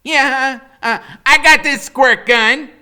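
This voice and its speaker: A man's voice: very high voice, takes it slowly, sharp tone, clear, resonant tone, pitched above the performer's own voice, heavily acted. A man voicing a brave, brainy little boy in an audiobook, with a bold, cocky, theatrical manner. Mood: cocky, threatening. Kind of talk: dramatic audiobook character reading.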